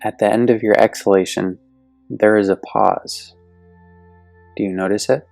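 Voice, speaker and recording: Male meditation guide: gentle, deliberate delivery with a creaky low edge, recorded dry. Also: fairly even intonation, no echo. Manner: soothing, gentle, deliberate with long pauses. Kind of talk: guided yoga nidra meditation. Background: soft meditation music.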